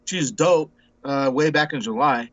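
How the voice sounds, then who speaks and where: A man's voice: medium pitch, measured pace, fairly bright tone, dry recording. Rambling man in his forties, dry lo-fi sound. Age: 40s. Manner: rambling, repeats words and restarts often; laid-back, says bro and dude a lot. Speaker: interview guest. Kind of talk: casual conversation.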